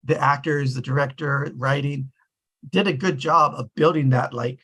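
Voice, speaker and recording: A man's voice: medium pitch, steady tone, normal speed, sharp tone, slightly rough. A man hosting a casual group discussion in a tentative, rambling way. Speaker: discussion host.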